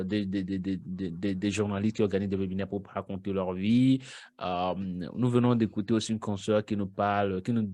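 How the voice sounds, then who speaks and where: Casting: man, webinar moderator, formal and courteous, lowish voice, dry close sound. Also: a little mellow, dry recording. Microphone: video call.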